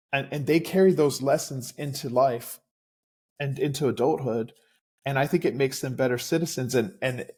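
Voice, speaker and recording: A man with an American accent speaking as an interview guest and local candidate, conversational, earnest and measured. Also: medium pitch, a little mellow.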